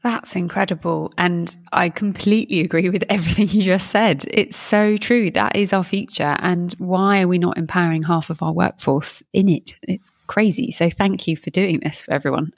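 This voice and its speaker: Gravelly-toned woman hosting a podcast. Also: fairly low pitch, normal speed, hardly any pauses. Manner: enthusiastic, affirming. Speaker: podcast host. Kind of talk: interview hosting.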